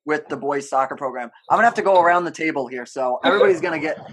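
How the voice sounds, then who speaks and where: A man's voice: on the high side, expressive, racing, low-quality recording. A man hosting a casual sports interview podcast, putting questions to guests in an encouraging, affirming way. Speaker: podcast host.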